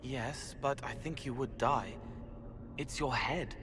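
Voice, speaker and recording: A man voicing a gentle, eerie young spirit in a video game, speaking in halting, poetic fragments. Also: medium pitch, noisy background, close to an everyday voice. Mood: earnest, cryptic. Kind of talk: dramatic video game acting. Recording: music behind the voice.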